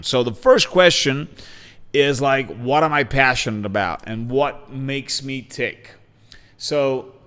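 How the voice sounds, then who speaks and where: A man in his forties from Bulgaria hosts his own podcast in a casual solo monologue, talking with lots of energy and plenty of "uh"s and restarts. His voice is smooth and resonant at a middling pitch. A long reverb hangs around it, as if he were in a big, hard room. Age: 40s. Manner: energetic, talkative, with frequent fillers and restarts. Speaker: podcast host. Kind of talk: casual self-introduction monologue.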